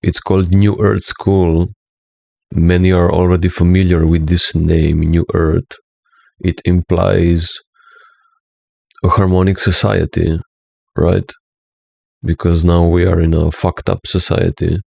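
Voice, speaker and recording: A man's voice: sits low, little pitch movement, takes it slowly, creaky, darkish tone, no room sound, studio quality. A man from Slovenia with a Slovenian accent, speaking English as a second language in an earnest, preachy monologue as a spiritual teacher, often checking in with "right?".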